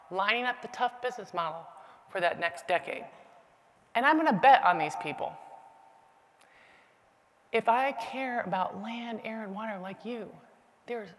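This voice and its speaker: A middle-aged American woman giving an emphatic, deliberate motivational keynote from a stage. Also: medium pitch. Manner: emphatic, deliberate short phrases with rhetorical repetition. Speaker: conference speaker, agriculture sector leader. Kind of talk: rehearsed motivational keynote speech. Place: large indoor expo stage. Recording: reverberant hall with clear background noise.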